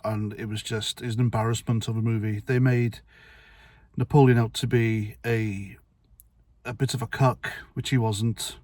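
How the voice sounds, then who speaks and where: A man's voice: lowish voice, level tone, moderate pace, darkish tone, no echo. Blunt, rambling middle-aged man. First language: Welsh. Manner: blunt, rambling, sweary, with restarts. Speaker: vlogger. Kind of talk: casual opinion monologue to camera.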